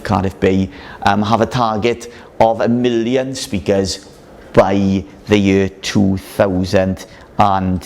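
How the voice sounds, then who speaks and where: A man's voice: fairly low pitch, creaky, a little hiss or hum. A Welsh man, a minister, giving an earnest talk to a chapel audience, with frequent ums and uhs. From Wales.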